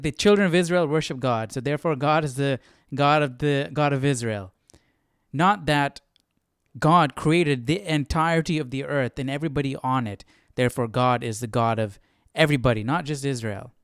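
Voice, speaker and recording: Clear-voiced man, casual and halting. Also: mid-range voice, no room sound, studio quality. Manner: halting, thinking aloud. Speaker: Bible study host. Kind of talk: casual unscripted commentary.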